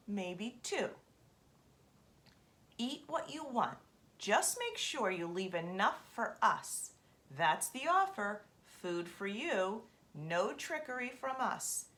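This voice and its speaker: A woman voicing a cunning, bossy female raven with high squawking cries. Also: sharp tone, exaggerated voice.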